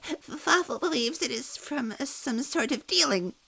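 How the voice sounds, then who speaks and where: A man's voice: very high voice, lively intonation, normal speed, gravelly, bright, forceful tone, full character voice. A man voicing a nervous, obedient male minion who speaks of himself in third person and stammers, in a storybook reading. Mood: timid. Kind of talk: storybook reading with character voices.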